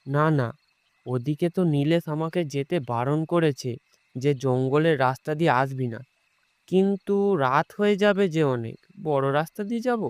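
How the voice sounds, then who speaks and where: A man playing an ordinary, impulsive young man in an animated horror story, conversational and often repeating his words. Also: fairly high pitch, normal speed, clean tone, no room sound, natural voice. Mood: musing, hesitant.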